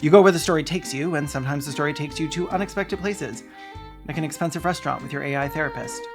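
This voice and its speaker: A video essayist narrates scripted commentary in a mid-range voice. The delivery is theatrical and sardonic, full of dry joking asides. Soft music plays underneath. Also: medium pitch, fast talker.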